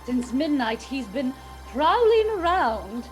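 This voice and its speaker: A woman's voice: high-pitched, sing-song, slow pace, a little mellow, soft background music, exaggerated voice. A woman playing a superstitious, doom-saying housekeeper in a radio play, dramatic and foreboding. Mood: alarmed, foreboding. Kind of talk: theatrical radio play acting.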